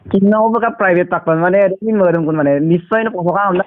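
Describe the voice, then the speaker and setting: A man chatting casually on the phone, speaking haltingly and mixing in English words. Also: sits high, dry recording, phone-quality sound.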